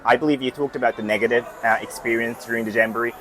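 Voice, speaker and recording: A man interviewing people on a city street outdoors, casual and friendly, with quick acknowledgements. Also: medium pitch, steady tone, crowd noise, poor audio.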